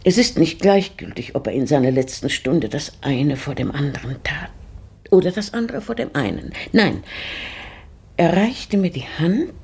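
An actress playing a pious widowed lady in a German radio play, brooding and searching her memory with halting, formal diction and a breathy voice. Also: low-pitched, lively intonation, darkish tone, exaggerated voice. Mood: searching, anguished.

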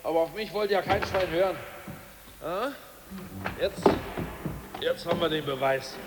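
An actor playing a grumbling deposed party official. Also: male voice, on the high side, unhurried, long reverb tail, very noisy, natural voice. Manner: grumbling, hesitant, fussy. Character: ousted male Politburo member, fretful and grumbling. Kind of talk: 1980s radio play acting.

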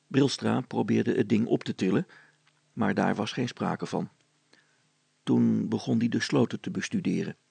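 A man's voice: on the low side, vocal fry, darkish tone, breathy edge, no room sound. A man narrating a scripted children's story as its storyteller.

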